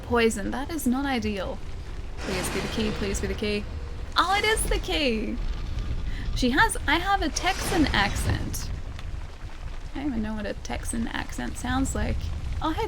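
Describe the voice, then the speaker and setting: Australian woman streamer, higher-pitched voice, casual and giggly, noisy stream audio. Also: very animated, moderate pace. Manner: chatty, giggly, self-mocking. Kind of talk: casual gameplay commentary.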